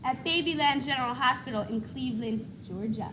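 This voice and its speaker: A woman's voice: high voice, fairly quick, natural voice. A female student actor playing a bossy, precocious baby girl doll who questions bluntly in a stage comedy. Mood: bossy, matter-of-fact. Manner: blunt, questioning, know-it-all. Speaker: student actor in a school play. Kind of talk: stage one-act comedy acting. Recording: noisy 1985 school video tape.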